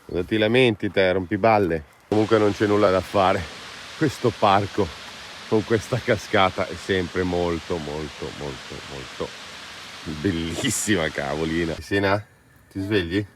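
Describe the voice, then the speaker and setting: A man's voice: deep voice, measured pace. A man chats informally and playfully as he hosts a casual travel vlog. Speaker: vlog host. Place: outdoors in a mountain valley. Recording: clear outdoor ambient noise.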